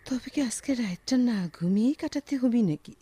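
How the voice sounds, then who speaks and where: An actress playing an elderly, grumbling yet doting village grandmother in a Bengali radio drama, with a rural Bangladeshi accent and drawn-out, expressive speech. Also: fairly high pitch, slightly rough, dry recording. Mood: restless, complaining.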